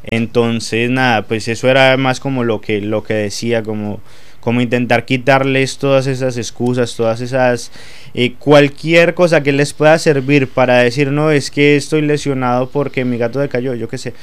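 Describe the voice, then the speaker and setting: A man's voice: mid-range voice, fairly even intonation, moderate pace, clear, resonant tone. A young adult man from Colombia with a Colombian Spanish accent, talking as a sports pundit in a casual panel, hesitant with many fillers.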